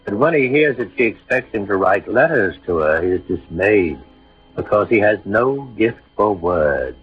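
A man's voice: lowish voice, lively intonation, moderate pace, breathy edge. A man giving scripted narration for an old-time radio drama. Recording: dull vintage radio recording. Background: music.

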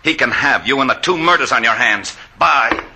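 An actor playing a bitter, jilted criminal accomplice with a terse, biting delivery in old-time radio drama. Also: male voice, medium pitch, expressive, moderate pace, heavy background noise, natural voice. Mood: sour, resigned. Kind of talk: 1940s-50s radio adventure acting.

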